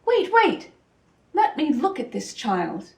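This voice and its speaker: A tender mother bat from a children's storybook, as a woman reader puts her on. She speaks high and slowly with maternal emphasis, both urgent and reassuring, in a roomy, slightly distant recording. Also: wildly swooping pitch, darkish tone, exaggerated voice. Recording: echoey room, distant microphone.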